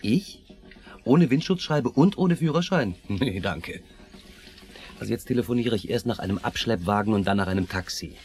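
An actor playing an impatient, bossy husband in a German crime radio drama, agitated and complaining. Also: male voice, lowish voice, expressive, normal speed, darkish tone, close to an everyday voice. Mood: rattled, placating. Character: excitable, impatient, nagging husband in the passenger seat.

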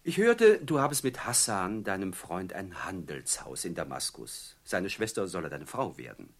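An actor playing a mocking, falsely courteous bandit chief in a German radio play, speaking with theatrical, oily politeness. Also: male voice, low voice, sing-song, moderate pace, heavily acted. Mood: falsely courteous, gloating.

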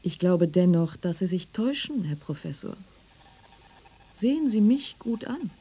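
An actress playing a polite, deferential and slightly hesitant young interviewer in a radio play. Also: female voice, lowish voice, big pitch swings, unhurried, warm tone, breathy edge, full character voice. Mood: calm, insistent. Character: polite, hesitant young interviewer, secretly an alien robot envoy. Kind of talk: German radio drama acting.

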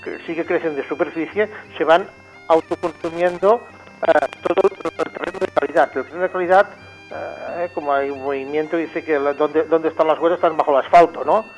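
A man's voice: a little high, steady tone, fairly quick, faint music behind, poor audio. A man giving spontaneous interview answers as an expert guest, searching for words and repeating himself. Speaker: interview guest, agricultural engineer. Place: remote call into a radio programme.

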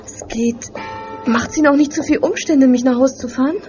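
A young woman playing a feisty, sarcastic eighteen-year-old girl in a radio play, pert and expressive. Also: sits high, moderate pace, natural voice. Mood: relieved, curious. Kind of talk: German radio play acting. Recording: dull sound with music behind.

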